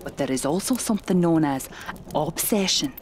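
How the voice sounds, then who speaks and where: A woman playing a seasoned, genteel spiritualist medium in a radio play, speaking in a measured, dramatic way. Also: low-pitched, expressive, slight background noise. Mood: ominous.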